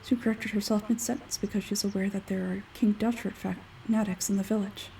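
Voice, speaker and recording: A woman voicing an aloof, logical, smug scholarly man from a game, measured and condescending, with a husky forced-low tone. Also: steady tone, mellow, far from the mic, low-quality recording. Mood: measured, explaining.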